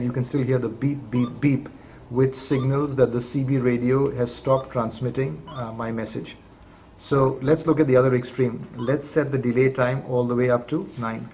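Man calmly explaining, with background noise. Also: medium pitch. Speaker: product demonstrator. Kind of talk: instructional product demonstration, explaining step by step.

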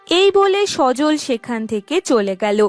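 A woman's voice: high-pitched, sing-song, a little slow, soft background music. A woman reading scripted narration for a cartoon story.

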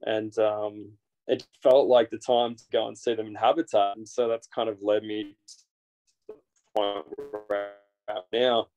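A man's voice: lowish voice, measured pace, dull audio, faint music behind. Australian man, 30s, laid-back interview guest on a laptop mic, loose and chatty. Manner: relaxed, rambling with frequent fillers and restarts. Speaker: guest, naturalist guide. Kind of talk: casual interview over video call. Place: small indoor room. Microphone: video call, built-in device mic.

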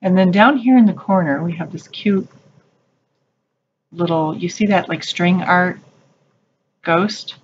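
Lady filming a store walkthrough, slow easy talk, kinda dull lo-fi sound. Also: on the low side, a little hiss or hum. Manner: gushing, chatty, calls things cute. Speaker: shopping vlogger. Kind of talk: casual shop-with-me narration. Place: craft store aisles, indoors.

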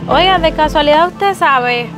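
A young woman acting as a kind vendor who speaks gently and slowly. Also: high-pitched, over music, close to an everyday voice. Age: young adult. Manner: gentle, prayerful, slow. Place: outdoors, rural roadside.

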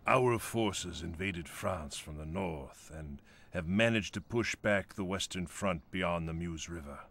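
Deep husky old army general. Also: male voice, a bit bright, full character voice. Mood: stern, resolute. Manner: measured, authoritative. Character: stern, commanding elderly male army general. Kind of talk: video game cutscene acting.

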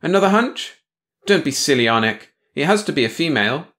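A man voicing an imperious, coldly logical female alien beast who speaks in a brisk, dismissive, commanding way. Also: on the high side, very animated, fairly bright tone, voice pushed up. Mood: scornful.

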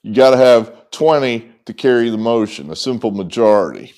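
A middle-aged man giving opinionated, off-the-cuff sports commentary in an emphatic, halting and at times sarcastic way. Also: mid-range voice, lively intonation, a little mellow. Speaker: sports vlogger, host. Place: indoors, small wood-walled barn room with whiteboards.

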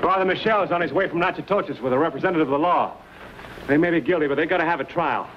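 A man's voice: a little high, fast, natural voice. Noisy old western, actor as confident frontiersman. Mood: commanding. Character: confident, fair-minded young frontier trader and fighter. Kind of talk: 1950s western screen acting. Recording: dull, noisy old film soundtrack.

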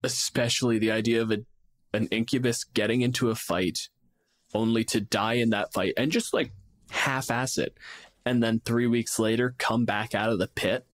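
A man's voice: medium pitch, fairly even intonation, moderate pace, no room sound. A man co-hosting a podcast in casual, slangy, sweary chat, often acting out imagined lines. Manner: profane, slangy, acts out imagined quotes. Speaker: podcast co-host. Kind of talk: casual, animated chat about fantasy game monsters.

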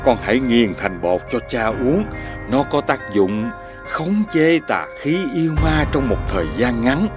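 A male actor playing a wise, kindly old household god with a measured, musing manner in an animated fairy tale. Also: mid-range voice, natural voice. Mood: thoughtful. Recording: music under the voice.

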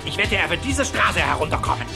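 A man voicing an excitable, miserly old cartoon duck with a theatrical, exclamatory delivery. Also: high-pitched, fast talker, gravelly, music in the background, full character voice. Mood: frantic.

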